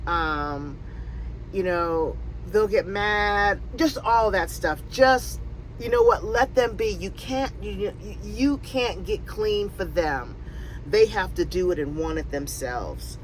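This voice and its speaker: A woman's voice: medium pitch, animated, takes it slowly, a little hiss or hum, poor audio. A woman in her fifties from Connecticut, casually answering viewer questions on her van-life vlog, rambling with many fillers and restarts. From Connecticut, USA. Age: 50s. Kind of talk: casual Q&A chat. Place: in or near her RV.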